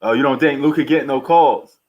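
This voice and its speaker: A man's voice: mid-range voice, brisk, dull audio. A man hosting a live sports stream, chatting casually with slangy, bantering commentary. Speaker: livestream sports host.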